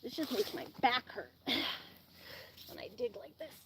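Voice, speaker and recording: A woman's voice: on the high side, very animated, slow, background noise. A woman who sounds sniffly from allergies, chatting in a rambling way to a live audience while she shovels compost outdoors. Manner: rambling, says "like" often. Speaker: animal channel host. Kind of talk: casual chat to a live audience while gardening. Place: outdoors in a farmyard garden.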